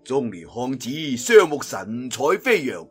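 A man's voice: mid-range voice, sing-song, a little slow, fairly bright tone, slightly rough, soft background music. A man with a Cantonese accent narrating a martial arts tale in a theatrical, colloquial storytelling style. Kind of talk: dramatic scripted wuxia story narration.

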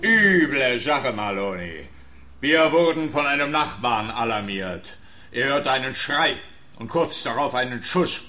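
A man playing a gruff old police officer in a radio play, officious and dry. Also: mid-range voice, lively intonation, measured pace, sharp tone.

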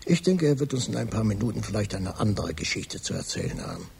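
An actor playing a hardboiled private detective, narrating his case dryly in a gravelly, husky voice for a radio crime drama. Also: on the low side, huge pitch swings, fast talker, darkish tone, a little hiss or hum, heavily acted. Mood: firm.

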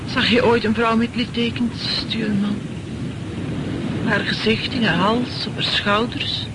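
A woman's voice: fairly high pitch, fairly even intonation, unhurried, natural voice. An actress playing a battered, bitter woman aboard a ship in a radio play, pressing her questions insistently. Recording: very noisy old radio play recording.

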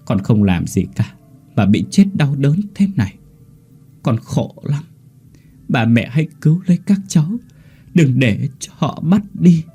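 A man's voice: medium pitch, animated, measured pace, pauses often, dark tone, husky, faint music behind, pitched above the performer's own voice, full character voice. A man voicing the sorrowful ghost of a dead mother in a horror story, pleading and tearful. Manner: sobbing, pleading.

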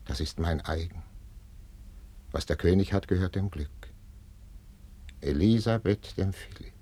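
A deep-voiced, imperious old king. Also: unhurried, dark tone, breathy edge. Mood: grave, possessive. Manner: commanding, weighty, declamatory. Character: stern, jealous, imperious grey-haired old king. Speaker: radio drama actor. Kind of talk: 1950s German stage-style radio acting of classical verse.

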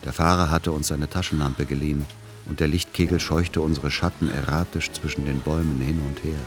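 An actor playing a middle-aged, exasperated literary aesthete son who also narrates a radio play in measured words. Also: male voice, a bass voice, steady tone, over music. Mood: melancholic, reflective.

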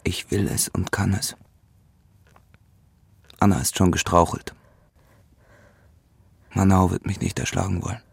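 Radio drama, guilt-laden, unsentimental man: deep and husky, terse, measured, recorded close and dry. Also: little pitch movement, dry recording, exaggerated voice. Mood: resolute. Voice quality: low, gravelly, husky. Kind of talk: German radio play acting.

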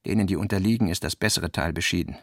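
A man's voice: sits low, fast, a bit bright, dry recording, studio quality, voice pushed down. A man reading the part of a gloomy, disillusioned, sinewy man who speaks bluntly and bitterly in a radio play.

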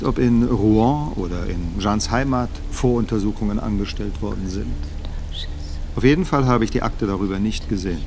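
Guarded scholarly witness, noisy ambience. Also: male voice, lowish voice, a little mellow. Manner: measured, formal, evasive. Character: guarded, careful 56-year-old theology professor testifying as witness. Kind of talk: radio drama testimony.

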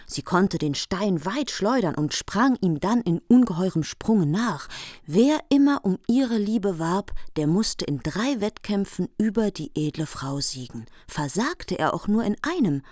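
A woman's voice: mid-range voice, big pitch swings, a little slow, darkish tone, dry recording. A woman narrating a scripted radio drama of a heroic legend, recorded close in a studio. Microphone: close, dry studio microphone.

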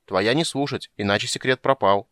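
A man voicing a small grumpy gully dwarf girl who squeaks in broken grammar, in an audiobook. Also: moderate pace, a bit bright, no room sound, natural voice. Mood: petulant.

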